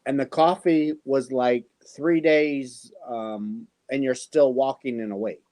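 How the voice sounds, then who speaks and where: A man's voice: mid-range voice, steady tone, takes it slowly, darkish tone, no echo. A man in his fifties with an American accent, hosting a casual live panel chat and telling easygoing stories with many fillers. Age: 50s. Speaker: host.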